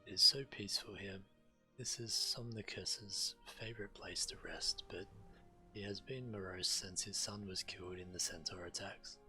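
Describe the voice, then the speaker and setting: A man's voice: sits low, steady tone, moderate pace, sharp tone. A man streaming a video game, reading the quest text aloud. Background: game music.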